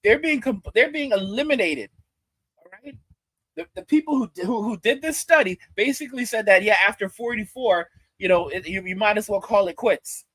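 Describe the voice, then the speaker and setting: Man, 40s, high husky voice, stammering mocking rant. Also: moderate pace, a bit bright, no echo, poor audio. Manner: repetitive, stammering, mocking asides. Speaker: commentator reacting to a clip. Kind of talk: ranting commentary.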